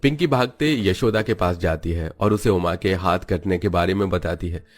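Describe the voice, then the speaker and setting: A man's voice: low voice, moderate pace. A man narrating a scripted moral story as a storyteller.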